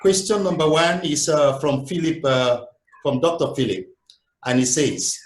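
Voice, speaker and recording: A man's voice: on the high side, a little slow, echoey room, low-quality recording. A man moderating a webinar Q&A, polite and hesitant, with many fillers. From Cameroon.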